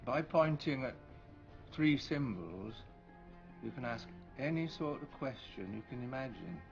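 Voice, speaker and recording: An actor playing a calm, patient mentor who explains deliberately in a gravelly voice, in a film drama. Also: male voice, mid-range voice. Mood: patient, instructive. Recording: dull film audio with faint music.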